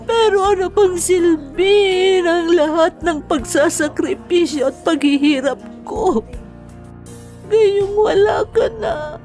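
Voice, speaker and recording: A woman playing an anguished, bitter grieving mother in a Tagalog radio drama, with a drawn-out, emotional delivery. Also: very high voice, a little mellow, faint music behind. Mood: anguished grief.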